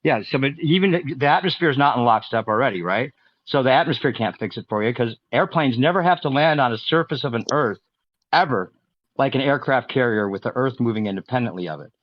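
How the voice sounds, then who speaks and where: A man's voice: medium pitch, lively intonation, a little fast, no echo. Man pressing hard questions, lo-fi muffled line, dry sound. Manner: challenging, repeats pointed questions. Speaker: debater. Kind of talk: heated online voice-chat debate. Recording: dull, dry lo-fi voice chat.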